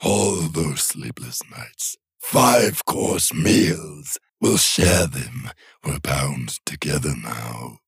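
A gleeful predatory creature speaks from a dead dog's body. The voice sits extremely deep, crawls along at a very slow pace and is husky and rough. It taunts slyly, in a dry, close recording. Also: male voice, lively intonation, no room sound, full character voice. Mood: taunting. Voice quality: low, husky, slow and drawn out. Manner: taunting, sly. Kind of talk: audiobook horror narration.

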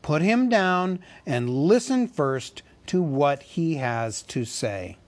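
A man voicing an old male wizard who speaks slowly with dry authority, in a storybook reading. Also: on the high side, very animated, voice pushed up.

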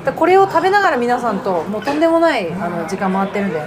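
Female podcast host, casual and fast, very expressive pitch, recorded in a busy restaurant. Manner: animated storytelling, acts out quoted remarks. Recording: busy restaurant, music and chatter behind. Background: music and crowd chatter.

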